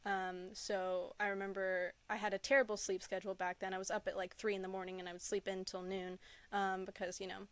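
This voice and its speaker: A woman's voice: mid-range voice, fairly bright tone, clean tone, no echo. A young adult woman telling personal stories in casual chat as an enthusiastic, gushing podcast guest. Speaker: podcast guest, content creator.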